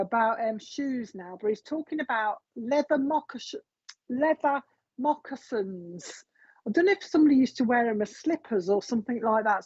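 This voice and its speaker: A woman's voice: fairly high pitch, darkish tone, slightly rough, dry recording. A woman giving a psychic reading, chatting casually and relaying messages with lots of fillers and asides. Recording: dull, dry lo-fi sound.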